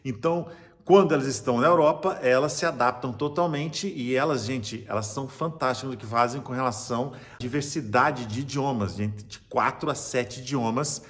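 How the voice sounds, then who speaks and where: A man's voice: medium pitch, moderate pace, fairly bright tone. Chatty Brazilian man giving cruise tips. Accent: Brazilian Portuguese. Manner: chatty, enthusiastic, salesy, with frequent restarts. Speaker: cruise travel agent and vlogger. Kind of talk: casual tips vlog to camera.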